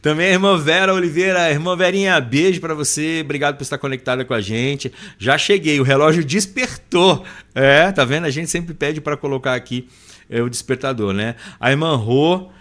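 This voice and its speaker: A man's voice: fairly high pitch, moderate pace, fairly bright tone. A man with a Brazilian Portuguese accent hosting a live podcast, chatting informally and affectionately with listeners. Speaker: podcast host. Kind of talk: casual live podcast chat, reading out chat greetings.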